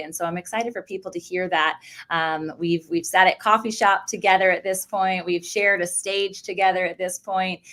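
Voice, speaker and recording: A woman's voice: fairly low pitch, a bit bright. An enthusiastic woman hosting a faith-based interview podcast, laughing often and restarting her phrases as she goes. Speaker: podcast host.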